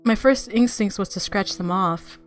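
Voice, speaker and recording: A woman's voice: mid-range voice, moderate pace. A woman hosting a gaming video, reading visual novel narration aloud expressively and reacting casually. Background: game music.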